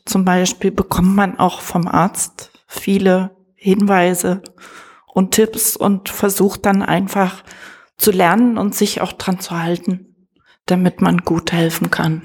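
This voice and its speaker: A woman volunteer answering a question in a thoughtful, measured way. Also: mid-range voice, little pitch movement, a little mellow, slightly rough. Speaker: volunteer helper.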